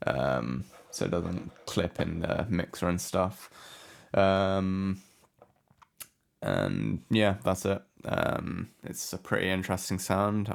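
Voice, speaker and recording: A man giving a casual software tutorial in a hesitant, offhand, unscripted way. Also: low voice, gravelly. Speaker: music production tutorial host. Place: indoor room with a window.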